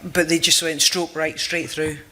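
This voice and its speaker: Brief: chatty patient panelist, bright tense tone, a little high, little pitch movement. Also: male voice, little pitch movement, unhurried. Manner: chatty, joking. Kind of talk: casual panel talk.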